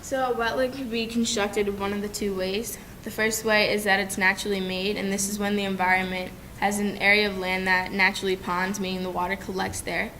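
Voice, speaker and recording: A young woman gives a class presentation from a podium microphone, explaining step by step with the odd restart. Her delivery stays fairly even in pitch. A faint rumble sits under the lecture-room sound. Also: a little fast, hardly any pauses. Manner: steady, explanatory, some restarts and ums. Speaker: student presenter. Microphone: desk microphone at a podium.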